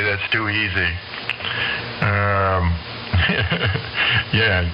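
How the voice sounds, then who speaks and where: A man in a relaxed, chatty exchange as an amateur radio operator, heard over a shortwave link. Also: on the low side. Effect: shortwave radio transmission. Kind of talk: casual rag-chew radio chat. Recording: muffled, hissy amateur radio signal.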